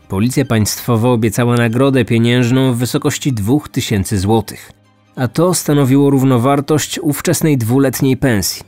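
A man's voice: medium pitch, darkish tone, no room sound. A man hosting a true-crime podcast, reading scripted storytelling narration. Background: music.

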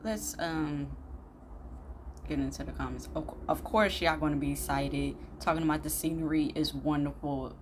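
A woman's voice: low-pitched, normal speed. A young woman giving casual, blunt, slangy reaction commentary as a video host, recorded indoors on a phone. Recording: clearly noisy room recording.

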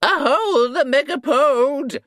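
Excitable wizard, very high pitch, drawn-out whooping cries, storybook hunter, dry recording. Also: male voice, no room sound, exaggerated voice. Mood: excited. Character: excitable male wizard crying a hunting call.

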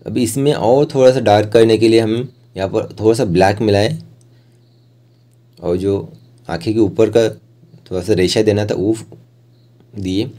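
A man with a low, very mellow voice talks through an art tutorial step by step. His delivery is plain and informal, like an instructor explaining each stage of the work. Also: unhurried, long pauses.

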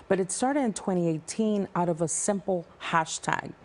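A woman's voice: lowish voice, a little hiss or hum. A woman in her thirties from El Salvador, a nonprofit founder talking passionately as a guest in a TV news studio on a lapel mic. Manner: passionate, fast-flowing, animated hand gestures. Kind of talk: TV studio interview.

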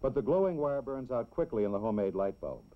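An American man reads scripted narration in the style of a 1960s educational film, explaining things simply and deliberately for children. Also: medium pitch, expressive. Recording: dull, lo-fi old film soundtrack.

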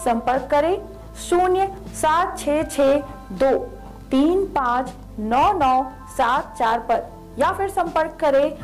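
A woman reading a scripted advertisement voice-over in a deliberate, announcing manner. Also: high voice, poor audio. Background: faint music.

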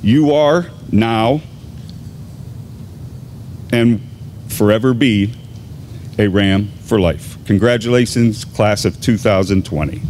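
Measured middle-aged man addressing a graduation. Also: on the low side, background noise. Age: 50s. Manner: measured, deliberate announcing with pauses. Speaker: assistant high school principal. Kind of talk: formal ceremony address. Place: large gymnasium at a graduation. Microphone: podium microphone.

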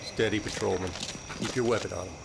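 An actor playing a steady, authoritative police captain in an audio drama, clipped and commanding. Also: male voice, lowish voice, fast talker, creaky, noisy background.

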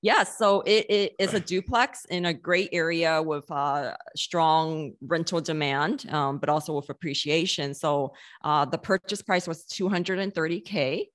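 A woman whose first language is Chinese, a podcast guest chatting casually over a video call into a studio mic, upbeat and quick to laugh. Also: sits low. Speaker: podcast guest, eye surgeon and investor. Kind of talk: casual interview chat. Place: home room, video call. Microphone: studio mic on arm.

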